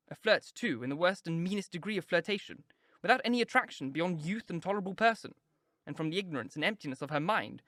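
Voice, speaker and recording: A serious, sensible young woman pleads her case earnestly and firmly, as a male narrator puts her on. Her voice sits raised and bright, with a close, dry sound. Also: a little high, no echo, voice pushed up.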